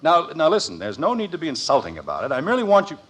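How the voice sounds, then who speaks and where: An exasperated American father in an old radio comedy. His fairly high voice moves up and down freely as he sputters, hedges and turns drily sarcastic. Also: huge pitch swings, racing, fairly bright tone, heavily acted. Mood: flustered, indignant. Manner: hesitant, sputtering, dryly sarcastic. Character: exasperated, harried suburban father, middle-aged, sarcastic. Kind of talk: 1950s American radio sitcom acting. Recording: vintage 1950s radio recording.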